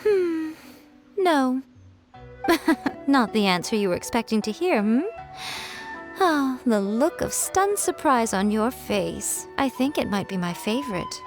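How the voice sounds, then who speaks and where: A woman playing a self-assured, teasing fox spirit shrine maiden in a video game, with playful, coy and deliberate phrasing. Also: fairly high pitch, very animated, heavily acted. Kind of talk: polished video game character acting. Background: music.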